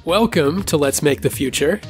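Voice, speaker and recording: A man's voice: fairly high pitch, animated, moderate pace, over music. A man hosting a podcast, introducing guests and asking friendly questions. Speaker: podcast host. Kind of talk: casual chat.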